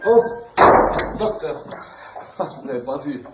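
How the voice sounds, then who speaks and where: An actor playing a flustered, eager middle-aged patient who fancies himself a healer, stammering and apologetic in a French radio comedy. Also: male voice, mid-range voice, lively intonation, measured pace, heavy background noise, close to an everyday voice. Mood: eager, fussy. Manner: stammering, apologetic, self-justifying.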